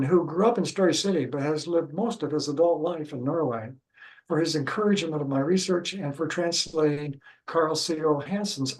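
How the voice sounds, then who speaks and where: A man's voice: a little high, rough recording. Older Midwestern man reading a lecture. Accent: Midwestern American. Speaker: local historian giving a talk.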